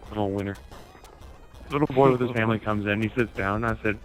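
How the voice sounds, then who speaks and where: A man's voice: fairly low pitch, fast talker, soft background music. A man telling a casual comic anecdote while playing a game, swearing freely and acting out both sides of conversations. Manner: sweary, animated retelling with acted-out dialogue. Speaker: storyteller in an online gaming video. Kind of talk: casual comic anecdote told over gameplay.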